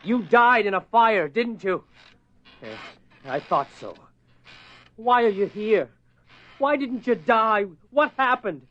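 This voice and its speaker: A man's voice: high voice, animated, slow pace, pauses often, slight background noise. A man playing a desperate husband in a horror radio play, intense and imploring as he confronts a spirit. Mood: defiant, demanding. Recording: muffled lo-fi radio drama recording.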